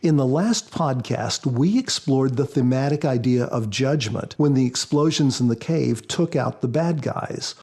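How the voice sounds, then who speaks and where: A man speaking as a guest author in a podcast interview, explaining in a measured, prepared style. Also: medium pitch, lively intonation, darkish tone, husky.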